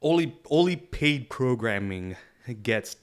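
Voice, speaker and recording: A man's voice: mid-range voice, lively intonation, slow, clean tone. A young man co-hosting a casual podcast. He talks in a thoughtful, agreeable way, chewing over ideas and often checking in with his co-host. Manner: agreeable, reflective, lots of "you know what I'm saying". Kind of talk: casual chat.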